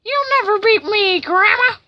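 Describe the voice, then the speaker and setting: A woman's voice: very high-pitched, moderate pace, noisy background, voice pushed up. A woman voicing a crazed, hungry, bullying marshmallow man who threatens and taunts in a storytelling game video. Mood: defiant.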